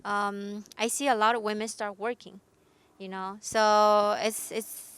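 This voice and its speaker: Woman, very clear voice, hesitant simple phrasing. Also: medium pitch, level tone, unhurried, a bit bright, dry recording. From Japan. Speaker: panel guest. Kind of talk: casual discussion.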